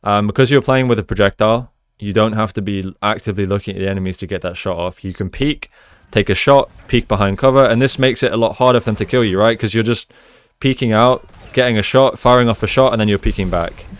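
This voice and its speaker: Clear, low-voiced man analysing gameplay. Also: quick pace, no room sound. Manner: explanatory, conversational, often checks with "right?". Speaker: gaming coach, analyst. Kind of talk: video game gameplay analysis commentary.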